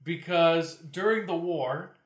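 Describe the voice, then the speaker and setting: A man's voice: on the high side, slow pace. A man hosting a podcast with casual, joking, sweary banter as he poses questions, recorded with a roomy echo. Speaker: podcast host posing questions. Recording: roomy, echoing sound.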